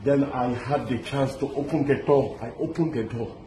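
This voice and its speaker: A middle-aged man from Lebanon telling his story in an animated, halting way, restarting often and mixing in pidgin words. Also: medium pitch, a little hiss or hum. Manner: excited, halting, many restarts. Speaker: interviewee recounting a kidnapping. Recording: echoey with loud street ambience.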